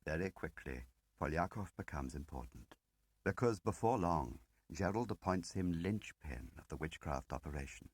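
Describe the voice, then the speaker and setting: Deep, measured ageing spymaster, read by a man. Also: lively intonation, breathy edge, no echo, exaggerated voice. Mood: measured, grave. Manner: deliberate, pausing interrogator with rhetorical questions. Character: ageing male spymaster, measured, probing, quietly relentless. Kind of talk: dramatic audiobook character reading.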